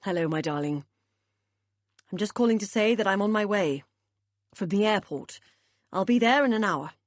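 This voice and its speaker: A woman's voice: medium pitch, animated, moderate pace, no room sound, close to an everyday voice. A woman, reading aloud and voicing an affectionate, cheerful older father. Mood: eager. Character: older British father, smooth-talking and eager.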